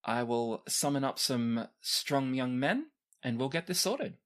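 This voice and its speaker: A courteous female guard from a tabletop game, acted out by the game master. The speech is formal and dutiful, with pitch that rises and falls freely. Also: animated, a little slow, a bit bright. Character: polite, formal female guard attending a noblewoman.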